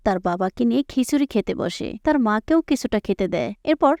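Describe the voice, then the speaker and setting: Female storyteller, scripted narration, fairly high pitch, dry close recording. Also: animated, fairly quick, a little mellow, no room sound.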